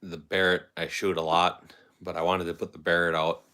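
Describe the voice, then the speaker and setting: A man's voice: deep voice, flat delivery, moderate pace, pushed and bright, roomy sound, a little hiss or hum. A man hosting a casual livestream, rambling and answering viewers' comments as they come in. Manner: rambling, talks back to chat comments. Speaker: livestream host.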